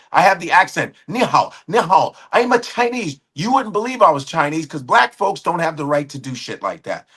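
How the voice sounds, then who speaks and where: A middle-aged man with a bright, slightly high voice talks heatedly on a live show. He is blunt and profane, and his indignation keeps driving him on. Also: husky. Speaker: guest commentator. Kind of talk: heated opinion talk.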